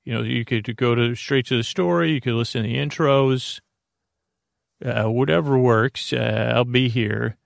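A man hosting a sleep podcast, talking in a slow, meandering, soothing monologue that often loses its thread, with a creaky voice. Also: mid-range voice, dry recording, clean studio sound. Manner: meandering, self-interrupting, gently soothing. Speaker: podcast host. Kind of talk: slow rambling bedtime monologue.

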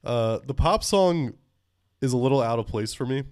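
A man's voice: medium pitch, lively intonation, normal speed, a little mellow, no room sound, clean studio sound. A man in his twenties co-hosting a podcast, joking in a mock-serious way with many fillers. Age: 20s. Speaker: podcast co-host. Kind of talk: casual film review chat.

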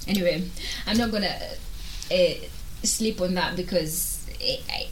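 A woman's voice: on the low side, unhurried, slight background noise, rough recording. A young woman in casual, slangy chat, opinionated and emphatic.

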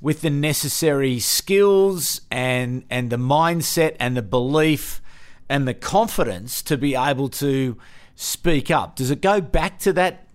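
A man's voice: mid-range voice, clean tone. A man hosting a podcast interview, asking long questions with restarts and corrections. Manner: halting, restarts questions mid-sentence. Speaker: podcast host.

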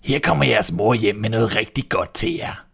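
A man playing a cunning storybook wolf, coaxing and sly, in a voice close to his own. It wheedles slowly and sweetly to trick others. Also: lowish voice, expressive, vocal fry, fairly bright tone, breathy edge, heavily acted. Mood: wheedling. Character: sly big bad wolf, male.

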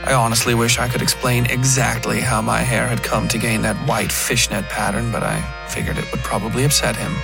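Male narrator, horror fiction podcast: medium pitch, gravelly creak, scripted first-person read over guitar music. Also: steady tone, brisk, hardly any pauses.

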